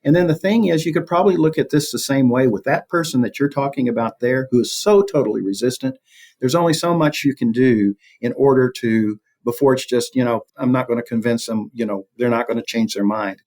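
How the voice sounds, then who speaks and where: A man's voice: mid-range voice, a little fast, darkish tone. A man speaking as an expert interview guest, explaining things in a conversational, reflective way and rephrasing as he goes.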